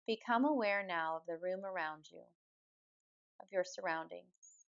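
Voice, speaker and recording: A woman leading a guided yoga nidra relaxation as the teacher, speaking in a calm, measured, soothing manner. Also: fairly low pitch, gravelly, smooth, lo-fi sound. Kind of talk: guided meditation, slow spoken relaxation instruction.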